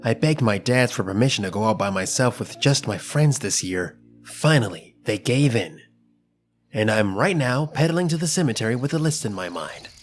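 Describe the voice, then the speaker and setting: A man narrating a scripted first-person children's story in an expressive, dramatic storytelling manner. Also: medium pitch, slight background noise.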